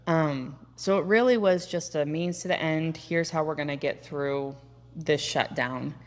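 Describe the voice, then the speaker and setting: A woman's voice: low voice, a little slow, long reverb tail. A woman from Montana, a mother of four, talking casually in an interview, chatty and prone to restarting her sentences. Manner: chatty, trails off and restarts, talks over partner.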